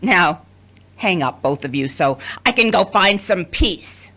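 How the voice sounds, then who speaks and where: Woman as commanding wry family organizer, unhurried, swooping pitch, theatrical, audio drama. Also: animated, sharp tone, heavily acted. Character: bossy, wry woman corralling her family.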